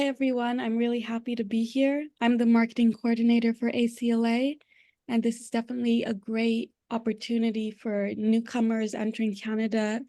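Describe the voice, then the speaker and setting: A woman speaks upbeat, prepared-sounding lines over a video call. Her voice is fairly high but even in tone, and it is mellow and dark in timbre. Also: no echo. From Tanzania. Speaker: marketing coordinator.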